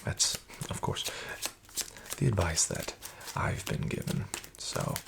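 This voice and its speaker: A man voicing a sketchy, condescending casino dealer in a soft-spoken ASMR roleplay, half-whispering with false politeness. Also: slow pace, noisy background, lightly acted. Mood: smarmy.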